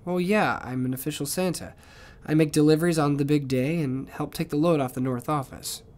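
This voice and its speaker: A man's voice: a little high, a little fast, darkish tone, a little hiss or hum, close to an everyday voice. A man voicing an eager, naive young Santa in an audio storybook, earnest and a little hesitant. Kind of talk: character acting in an audio storybook.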